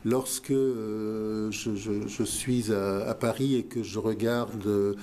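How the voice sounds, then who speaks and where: A man's voice: on the low side, fairly even intonation, slow pace, warm tone, slight background noise. A man guest on a talk show, speaking earnestly with many fillers and restarts. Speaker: guest, museum director. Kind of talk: radio talk show interview.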